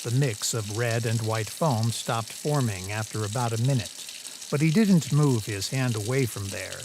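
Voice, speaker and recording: A man's voice: mid-range voice, normal speed, noisy background. A man reading scripted third-person story narration as the narrator.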